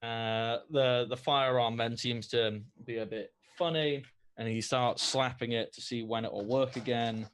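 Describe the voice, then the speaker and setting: A man running a tabletop game as game master, narrating the action in a lively way. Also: mid-range voice, unhurried, clean tone.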